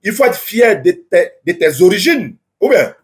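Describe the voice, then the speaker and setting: Earnest live-stream preacher man, high and insistent, lots of restarts. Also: big pitch swings, moderate pace. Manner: earnest, repetitive, stumbling restarts.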